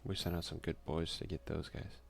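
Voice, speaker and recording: Deep crackly guy doing an asmr lets play, quiet and hushed, reads the game text out and comments. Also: flat delivery, brisk, vocal fry. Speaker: gaming video creator and player.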